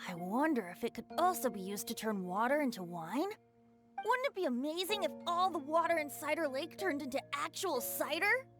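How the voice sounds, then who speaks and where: A woman's voice: high voice, very animated, normal speed. A woman voicing a playful, carefree, youthful bard in an anime-style video game, with a whimsical, theatrical delivery. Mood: dreamy, amused. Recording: music behind the voice. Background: game music.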